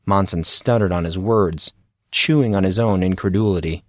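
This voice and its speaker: A man's voice: deep voice, normal speed, no echo. A man narrating a scripted story as the narrator of an audio drama.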